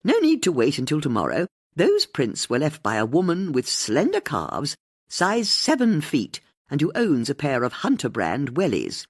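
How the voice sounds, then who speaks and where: An audiobook narrator voicing a confident, bossy older woman amateur sleuth with a brisk, emphatic manner. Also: medium pitch, huge pitch swings, husky, no room sound, studio quality, pitched above the performer's own voice, exaggerated voice. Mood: breezy, self-assured.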